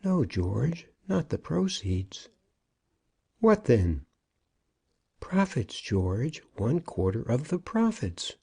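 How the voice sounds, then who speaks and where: A plaintive old shopkeeper from a storybook, acted by a male narrator. The voice is lifted high and a little rough, wheedling and pleading as it dodges every question. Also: sing-song, takes it slowly, pauses often, darkish tone, full character voice. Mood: evasive, querulous. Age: older. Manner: piteous, wheedling. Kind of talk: audiobook character acting.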